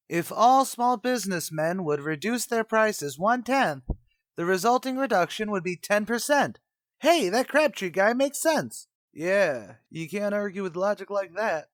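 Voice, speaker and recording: Man acting a breezy, high-pitched New tavern manager. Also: lively intonation, a little slow, dry recording. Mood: musing. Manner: slangy, mangled grammar, blustery salesman's patter. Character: scheming, wisecracking tavern manager, uneducated and breezy. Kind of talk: old-time radio comedy acting.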